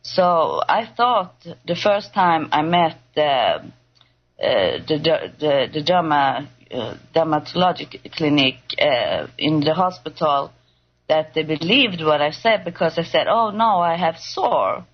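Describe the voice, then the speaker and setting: Slow, halting Swedish-accented woman. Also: low-pitched, expressive, lo-fi sound. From Sweden. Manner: halting, searching for English words, many fillers. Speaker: guest. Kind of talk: personal testimony on a call-in show.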